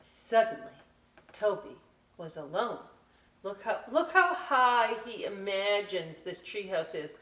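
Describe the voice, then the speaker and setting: A woman presenting a children's story time, reading aloud with chatty, animated, folksy asides straight to young viewers. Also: medium pitch, slow. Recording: roomy, distant mic with faint hiss.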